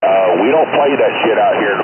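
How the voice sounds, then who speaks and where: A man's voice: on the low side, brisk, narrow, tinny audio. A man from Tennessee, a truck driver with a blunt, plainspoken way of talking, chatting on CB radio from his cab. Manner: blunt, plainspoken, swears casually. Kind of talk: casual CB radio chat. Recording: crackly CB radio, loud vehicle noise.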